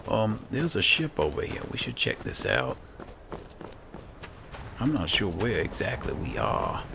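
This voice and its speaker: A man with a gravelly voice giving low-key, casual roleplay commentary on a video game, as if talking to himself. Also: wildly swooping pitch, a little slow. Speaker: gamer playing in character. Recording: clear background game ambience noise.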